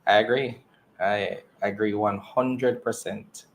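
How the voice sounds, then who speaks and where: A man's voice: lowish voice, fairly even intonation, slow pace, a little hiss or hum, rough recording. A man moderating an earnings call in a conversational business style. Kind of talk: earnings call question hosting.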